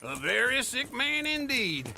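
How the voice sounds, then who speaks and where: An actor playing a sly, lazy old man in a game cutscene, joking and wheedling with a gravelly voice. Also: extremely high pitch, big pitch swings, a little slow, pushed and bright, slight background noise, heavily acted. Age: older. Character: lazy old male camp loafer, sly liar. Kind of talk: video game cutscene acting.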